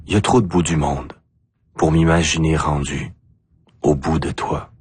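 A man with an Acadian French accent reciting spoken-word poetry deliberately, in short phrases with pauses. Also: extremely low pitch, steady tone, darkish tone, breathy edge. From Acadia, New Brunswick, Canada. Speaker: poet.

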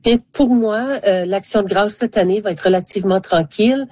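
A woman's voice: medium pitch, normal speed, warm tone, dry recording. An older woman, giving hesitant conversational answers as a panel guest.

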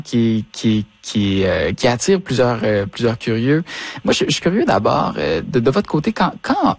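A man's voice: mid-range voice, no room sound. A man with a Quebec French accent, interviewing in a conversational, informal way and often repeating words as he goes. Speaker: radio interviewer.

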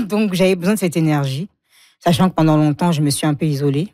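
Low, mellow-voiced woman reflecting openly. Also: fairly quick, dry recording, clean studio sound. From Congo. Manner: reflective, frank, laughs often. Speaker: guest. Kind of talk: emotional personal interview.

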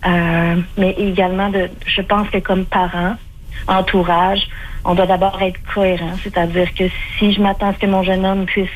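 A woman's voice: medium pitch, level tone, moderate pace, narrow, tinny audio, slight background noise. A middle-aged woman with a Québécois accent, a clinical spokesperson answering radio interview questions with many fillers and restarts. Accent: Québécois French.

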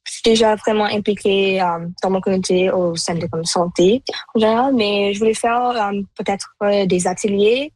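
A woman's voice: mid-range voice, steady tone, moderate pace, a little mellow, dry recording, low-quality recording. A woman in her twenties whose first language is Punjabi, a university student guest from Canada, speaking thoughtfully with hesitant fillers. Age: 20s.

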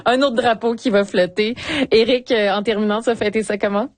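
Brief: chatty female host, relaxed talk, dry studio. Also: fairly high pitch, fairly quick, dry recording. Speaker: radio host. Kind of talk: casual radio chat.

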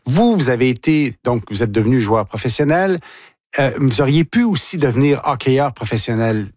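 A man's voice: medium pitch, animated, moderate pace, a little mellow, no room sound, studio quality. A man with a Québécois French accent hosting a radio interview, asking long, rambling questions. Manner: asks long questions with restarts. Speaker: radio host.

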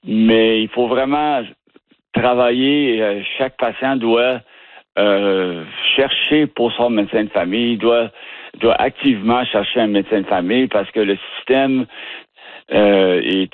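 A man from the Ottawa region with a Canadian French accent, a guest who talks haltingly and restarts words as he searches for them. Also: fairly low pitch, dry recording. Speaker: interview guest. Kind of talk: casual phone interview. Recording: tinny dry phone line.

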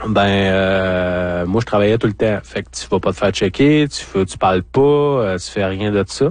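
A man with a Quebec French accent speaks in a low voice, recorded dry. He is an interview guest recounting his own experience in a candid, colloquial, conversational way. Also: a little mellow, no room sound. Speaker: interview guest, former farmer. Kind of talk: conversational personal storytelling.

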